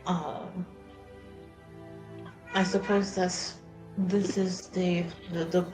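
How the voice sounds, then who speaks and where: A woman's voice: lowish voice, little pitch movement, extremely slow, darkish tone. A woman playing a tabletop roleplaying game, chatting casually and often breaking off to rephrase. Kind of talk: casual in-character and out-of-character game chat. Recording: background music.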